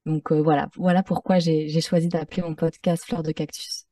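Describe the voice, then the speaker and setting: A French-Mexican woman answering as an interview guest, reflective and measured, pausing to find her phrasing. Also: sits low, little pitch movement, warm tone, dry recording, clean studio sound, far from the mic. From Mexico-born, French-Mexican. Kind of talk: conversational interview.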